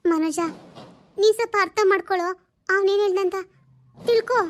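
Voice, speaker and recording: A soft-hearted, distressed mother played by a woman in a cartoon family story. Her voice is extremely high, and she sounds worried and reproachful. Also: brisk.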